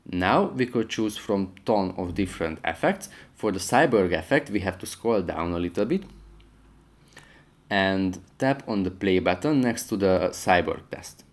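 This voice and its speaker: A man with a low voice narrates a how-to video as its host. He guides viewers through an app in a scripted, instructional style. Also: animated, unhurried. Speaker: tutorial host.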